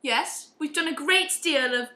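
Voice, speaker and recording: A woman's voice: high voice, unhurried, bright, forceful tone. A woman acting a haughty, unrepentant middle-aged upper-class matron in an amateur radio play, imperious and self-righteous. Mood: haughty, defiant. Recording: roomy, echoing amateur recording.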